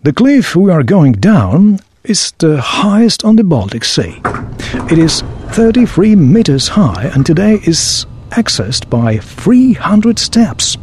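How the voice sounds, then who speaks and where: Man acting lively, formal tour guide, higher-pitched. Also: takes it slowly, a little hiss or hum, heavily acted. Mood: informative, obliging. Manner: informative, formal, presentational. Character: knowledgeable, obliging male local tour guide. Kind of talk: scripted audio guide dialogue.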